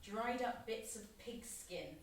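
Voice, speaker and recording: A guarded young woman, the cooler best friend, in a naturalistic audio drama. Her voice is fairly high, with a frank, dry delivery. The sound is very reverberant, with faint noise. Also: lively intonation, takes it slowly. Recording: very echoey, distant, faint noise.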